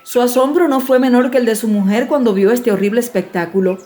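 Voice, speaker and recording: A woman's voice: fairly high pitch, fairly quick, slight background noise. A woman reading a classic fairy tale as an audiobook narrator, in scripted storytelling style. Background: soft music.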